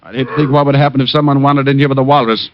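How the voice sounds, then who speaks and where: An actor playing a wisecracking private detective who also narrates, in 1940s radio acting style. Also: male voice, mid-range voice, fairly even intonation, rapid-fire, noisy background, lightly acted. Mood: wry. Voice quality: dry wisecracking delivery. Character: wisecracking tough private eye, adult man. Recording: dull lo-fi old radio recording with music.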